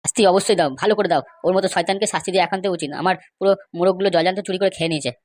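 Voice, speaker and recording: Woman doing aggrieved cartoon village guy out for revenge, talks super fast, gossipy, dry close sound. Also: fairly low pitch, steady tone, a little mellow, no echo, close to an everyday voice. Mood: indignant. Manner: emphatic, gossipy, rapid-fire complaining. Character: aggrieved, scheming male villager bent on revenge. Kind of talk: amateur comedy cartoon dialogue.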